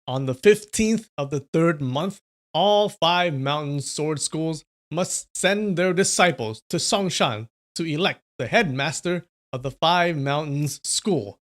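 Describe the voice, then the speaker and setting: A man voicing a haughty male envoy of a martial arts school in a story, speaking in a deliberate, declamatory way. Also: fairly high pitch, a bit bright. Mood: stern, threatening.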